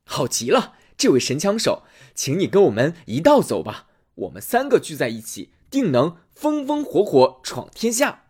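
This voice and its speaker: A man's voice: fairly high pitch, wildly swooping pitch, moderate pace, darkish tone, husky, heavily acted. A man voicing a proud, fiery discharged soldier. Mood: rousing. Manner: boastful, rousing.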